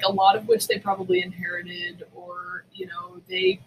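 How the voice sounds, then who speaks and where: A woman's voice: lowish voice, a little hiss or hum, poor audio. A woman co-hosting a casual chat, speaking emphatically and indignantly, swearing freely and repeating words for stress. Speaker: podcast co-host.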